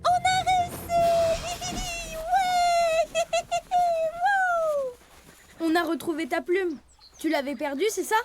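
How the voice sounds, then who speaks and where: A woman playing an earnest, eager young male animal cub in a children's cartoon, with expressive delivery. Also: extremely high pitch, takes it slowly, pauses often, very noisy, full character voice. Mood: excited. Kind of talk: French children's cartoon dub. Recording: music under the voice.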